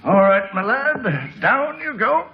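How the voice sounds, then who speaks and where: Kindly hearty dad in old radio drama, really slow drawn out talk, high voice, muffled noisy recording. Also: male voice, big pitch swings, creaky, exaggerated voice. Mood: hearty, welcoming. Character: kindly father bringing home a foundling boy. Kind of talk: 1940s-50s radio drama acting.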